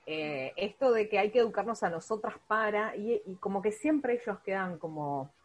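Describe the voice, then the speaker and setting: A woman's voice: medium pitch, expressive, normal speed. A woman with a Rioplatense Spanish accent talking casually in a discussion, thinking aloud with emphasis.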